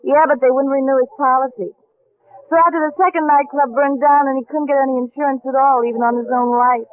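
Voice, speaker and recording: An actress playing a rambling, slangy gangster's moll in a 1940s radio drama. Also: female voice, high-pitched, steady tone, fairly quick, no echo, close to an everyday voice. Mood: tipsy chatter. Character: chatty, frantic gangster's moll drinking in a bar. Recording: tinny vintage 1940s radio broadcast.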